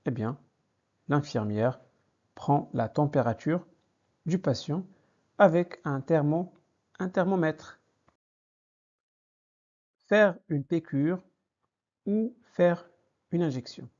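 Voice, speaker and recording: A man teaching a vocabulary lesson, speaking deliberately and repeating each phrase for learners. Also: a little high, darkish tone. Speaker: French teacher. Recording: dull lo-fi recording.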